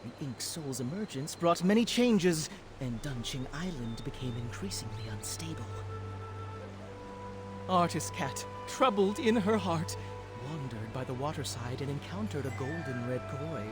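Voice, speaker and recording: A man's voice: on the high side, lively intonation, measured pace, over music. A man voicing a grandiose storyteller in a video game, telling legends in a formal, dramatic, declaiming manner. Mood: grand, dramatic. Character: grandiose male storyteller of ancient legends, easily flustered.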